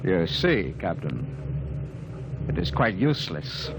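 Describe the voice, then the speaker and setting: A man playing a cold male alien spy posing as a human officer, speaking calmly and clipped in a 1950s radio drama. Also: sits low, takes it slowly, heavily acted. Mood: coldly menacing. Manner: calm, clipped and coolly menacing once unmasked. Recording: noisy old radio broadcast.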